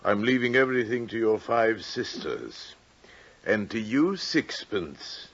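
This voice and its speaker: Stern, husky-voiced wealthy father. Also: fairly low pitch, animated, takes it slowly, fairly bright tone, full character voice. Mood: grave. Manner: formal, archaic phrasing. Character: stern, wealthy 18th-century English father, formal and unyielding. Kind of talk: old-time radio drama acting. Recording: dull, lo-fi old radio recording.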